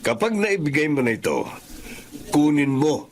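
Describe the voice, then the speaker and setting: An actor with a Filipino accent playing an assured, persuasive boss of a shady business in a radio drama. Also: male voice, fairly high pitch, very animated, normal speed, a little hiss or hum. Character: smooth, confident male boss of shady business.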